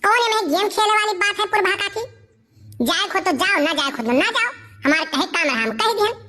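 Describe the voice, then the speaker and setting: A woman's voice: very high-pitched, lively intonation, fairly quick, sharp tone, full character voice. A woman voicing a cheeky, scheming village boy with an Awadhi accent, cajoling and insistent in comic cartoon dialogue. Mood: feigned indifference.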